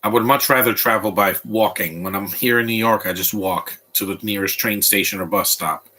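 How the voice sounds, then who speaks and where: A man's voice: on the low side, a little fast, bright, forceful tone, husky. A man giving casual live commentary while watching a film at home, rambling and restarting his sentences often. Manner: rambling, stop-start with repeated restarts. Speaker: movie commentator.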